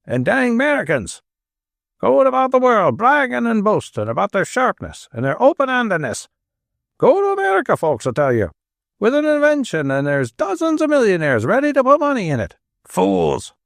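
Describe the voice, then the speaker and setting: A man's voice: on the high side, very animated, normal speed, creaky, few pauses, fairly bright tone, no echo, clean studio sound, voice pushed up, exaggerated voice. A man voicing an angry, bitter father who bellows and rants in a broad Manchester accent. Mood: furious.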